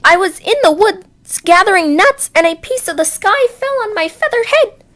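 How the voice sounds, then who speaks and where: A woman's voice: very high-pitched, animated, normal speed, fairly bright tone, pitched above the performer's own voice. A woman voicing a fretful, flustered little hen in a children's story, exclaiming in alarm.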